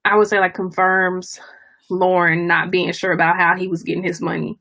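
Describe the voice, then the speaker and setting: A woman hosting a casual book discussion, speaking thoughtfully and haltingly as she searches for her words. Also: on the low side, flat delivery, vocal fry, bright, forceful tone, no echo. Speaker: book club discussion host.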